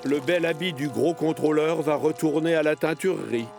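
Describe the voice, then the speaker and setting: A man with a slightly high voice tells a children's animated tale as a scripted narration. He is expressive and storyteller-like, with music clearly playing behind him. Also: normal speed.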